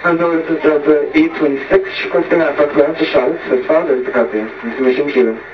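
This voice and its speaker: A man's voice: a little high, fairly even intonation, quick pace. A man speaking as a pilot in radio readbacks, heard through a computer speaker. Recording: distant, roomy, muffled speaker playback.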